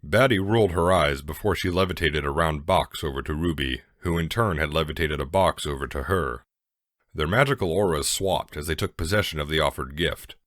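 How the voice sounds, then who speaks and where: A man's voice: very deep voice, brisk, fairly bright tone, no echo, studio quality. A man narrating a scripted fan fiction story as a dramatic reading.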